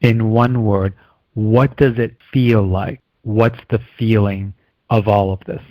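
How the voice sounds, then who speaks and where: Calm, soothing male energy healer. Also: on the low side, no room sound, lo-fi sound. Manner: calm, slow, soothing guidance with steady affirmations. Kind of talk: guided healing session.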